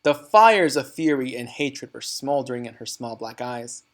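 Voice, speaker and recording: A man narrates a children's storybook in a mid-pitched voice. He reads expressively and deliberately, leaning on key words for dramatic effect. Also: expressive, fairly bright tone. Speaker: read-aloud narrator. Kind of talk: expressive storybook reading for students.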